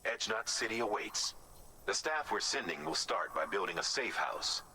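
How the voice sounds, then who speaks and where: A man's voice: lowish voice, expressive, normal speed, bright, forceful tone, a little hiss or hum. An actor playing a male mission handler in a game, giving earnest directions over the radio. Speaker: game character.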